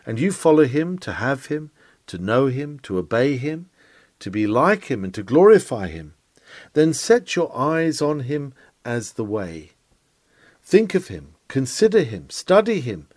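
Man, earnest sermon reading, exhorting delivery, lively swooping intonation, dry close sound. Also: mid-range voice, no room sound. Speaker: reader of historical sermons.

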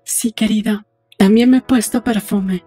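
A woman's voice: medium pitch, fairly even intonation, measured pace, mellow, husky, faint music behind. A woman playing a playful, defiant young woman in love in a dramatised audiobook, speaking with intimate assurance. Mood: playful, determined.

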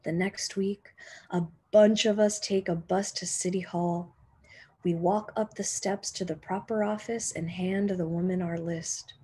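A young woman, a teacher reading a children's picture book aloud expressively, with deliberate dramatic pauses and emphasis. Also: fairly low pitch, fairly even intonation, dark tone, slightly rough. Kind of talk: expressive children's storybook narration.

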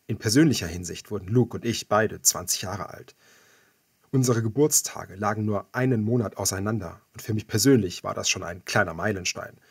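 A man's voice: lowish voice, brisk, creaky, slightly rough, no echo, studio quality. A man reading first-person fiction as a scripted audiobook narrator.

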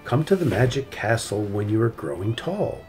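A middle-aged man reading a children's story aloud in an expressive, deliberate way. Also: lowish voice, soft background music. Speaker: storybook reader.

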